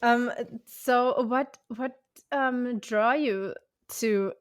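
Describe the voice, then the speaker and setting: A woman hosting a podcast about moving abroad, with German as her first language. Her voice sits somewhat high and the recording is dry and close. She talks casually and hesitates a lot, restarting questions and filling gaps with "um" and "like.". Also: extremely slow, smooth, dry recording. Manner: hesitant, with many fillers and restarts. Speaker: podcast host. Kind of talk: casual interview chat.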